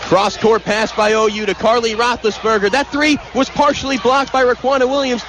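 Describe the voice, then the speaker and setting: A man's voice: very high voice, steady tone. A man calling live basketball play-by-play on the radio in vivid, clipped phrases, from an indoor arena. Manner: vivid, clipped, rapid-fire calls. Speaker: play-by-play sportscaster. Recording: loud crowd noise behind, live arena broadcast. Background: loud crowd.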